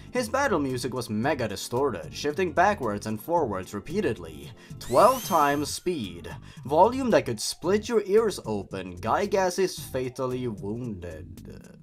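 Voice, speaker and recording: Guy narrating a creepy story, super animated up and down, sarcastic exasperated host type, bit of music behind. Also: fairly high pitch. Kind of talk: dramatic reading of a horror story with mocking asides.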